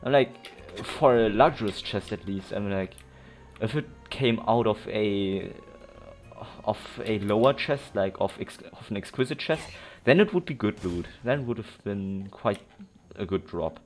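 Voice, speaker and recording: Male let's player, low voice, casual rambling commentary, informal, repetitive phrasing, faint game music. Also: expressive, unhurried. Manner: rambling, self-repeating, informal. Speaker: gaming video host.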